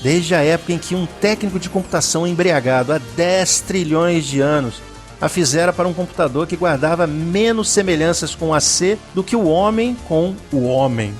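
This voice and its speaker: A Brazilian man narrating a scripted dramatic story in a solemn, deliberate manner. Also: on the high side, soft background music.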